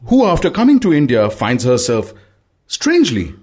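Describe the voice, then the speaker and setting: A male podcast co-host introduces segments and guests in an easygoing, announcer-like way. His pitch swings very widely, rising and falling all the time. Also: huge pitch swings, moderate pace, a little mellow, a little hiss or hum. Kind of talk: radio-style podcast hosting.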